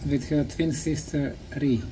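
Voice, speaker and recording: Slow-talking man giving a mellow public talk. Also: fairly high pitch, fairly even intonation, a little hiss or hum, rough recording. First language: Bosnian. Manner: pauses after short, simple phrases. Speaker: speaker, tour guide. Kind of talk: public talk in short phrases for an interpreter.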